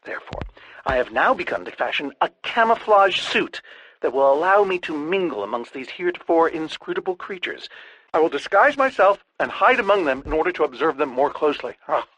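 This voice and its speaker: A middle-aged man voicing an eccentric, lonely, excitable researcher in a video game, with verbose, pompous, theatrical diction. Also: fairly high pitch, fairly quick, no room sound, rough recording. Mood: giddy. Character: eccentric, lonely, excitable male researcher obsessed with studying creatures. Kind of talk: animated video game voice acting.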